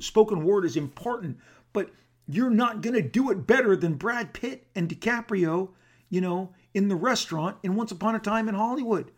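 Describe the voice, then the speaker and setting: A man talking casually about comics with a gravelly, throat-clearing voice, rambling with lots of restarts and fillers. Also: fairly high pitch. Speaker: comic book artist. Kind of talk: casual talk to camera.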